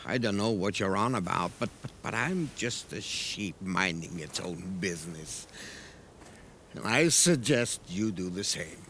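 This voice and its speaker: Gloomy, slow-talking sheep. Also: male voice, low-pitched, animated, a bit bright, a little hiss or hum, full character voice. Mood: weary, dismissive. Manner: reluctant, grumbling, halting. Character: gloomy, world-weary male sheep.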